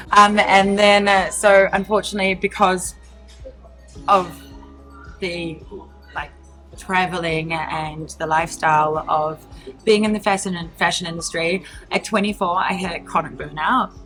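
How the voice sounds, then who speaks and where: Chatty New Zealand woman, lowish voice. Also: fairly even intonation, a little slow, fairly bright tone, faint music behind, low-quality recording. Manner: chatty, laughs often, many fillers. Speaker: guest, entrepreneur. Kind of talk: casual interview talk.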